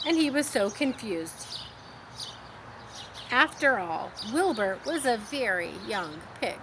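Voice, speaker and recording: A woman reading a children's story in an expressive storytelling style, with informal asides. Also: fairly high pitch, takes it slowly. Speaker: storybook reader. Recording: faint noise with birdsong behind. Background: birdsong.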